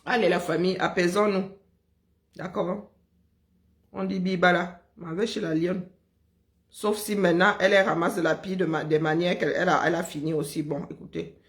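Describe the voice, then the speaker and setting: A woman from Cameroon with a Cameroonian French accent, chatting casually and telling lively stories, often breaking into laughter. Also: fairly low pitch, fairly quick. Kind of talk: casual chat.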